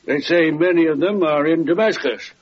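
An actor playing a weary, impatient carpenter of biblical times in solemn, archaic English, in an old-time radio drama. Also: male voice, on the high side, normal speed, husky. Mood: solemn, wondering. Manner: solemn, formal archaic diction. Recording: dull vintage radio recording with hiss.

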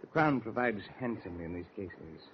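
Snooty secret agent, lowish voice, clipped and formal, muffled old radio drama. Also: male voice, lively intonation, brisk, husky. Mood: smooth, guarded. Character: haughty, secretive self-styled British intelligence agent. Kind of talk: 1940s radio drama acting. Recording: muffled, noisy 1940s radio recording.

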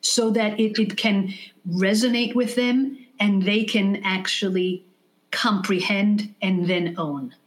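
A woman speaking as a podcast guest, with a voice of middling pitch. She lays out her advice in a measured, explanatory way and leans on practical examples. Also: fairly even intonation, poor audio. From United States. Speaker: guest, leadership consultant. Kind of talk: interview answers.

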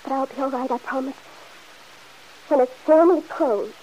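A woman's voice: high voice, normal speed, mellow, faint music behind, lightly acted, rough recording. An actress playing a fretful, guilt-torn young mother in an old-time radio soap opera, hesitant and pleading. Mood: anxious, wistful. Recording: hissy vintage radio broadcast recording.

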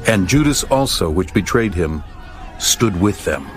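A man narrating scripture from a script in a measured, formal manner. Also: low-pitched, lively intonation. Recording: faint music bed behind voice.

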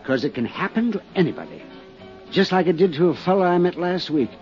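A man's voice: sits high, lively intonation, brisk. An American actor playing a tipsy, over-friendly traveling salesman who rambles and repeats himself in a 1950s radio drama. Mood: rambling, confiding. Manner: chuckling, repetitive, hesitant, over-friendly. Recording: muffled 1950s radio recording, faint music.

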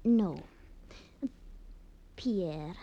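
An actress playing a secretive young woman who speaks in a hushed, pleading way, in 1950s radio acting style. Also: fairly low pitch, extremely slow, lo-fi sound, full character voice.

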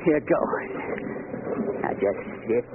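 An actor playing a menacing, gloating gangster with tough street slang in an old radio crime drama. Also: male voice, slow, natural voice. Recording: noisy, tinny old radio broadcast.

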